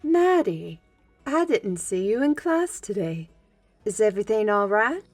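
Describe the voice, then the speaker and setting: Fantasy audio drama: genteel, educated half-blood beast woman, female actor, formal and measured, mid-pitched, over music. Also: big pitch swings, darkish tone, no room sound. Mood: gracious, friendly. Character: learned half-blood animal woman, former slave, genteel and gracious. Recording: background music under the voice.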